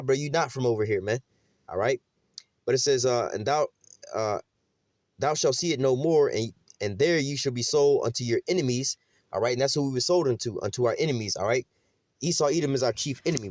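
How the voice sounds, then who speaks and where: Fast-talking man teaching scripture. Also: mid-range voice, darkish tone, no echo, studio quality. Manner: casual slang, frequent "man" and "you know what I'm saying"; reads verses slowly, then explains them. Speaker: preacher. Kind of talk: street-style scripture teaching.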